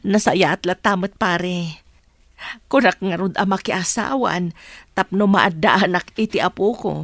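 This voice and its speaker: A woman's voice: lowish voice, unhurried, fairly bright tone, no room sound, clean studio sound. A woman acting a patient, concerned mother in a radio drama, speaking earnestly.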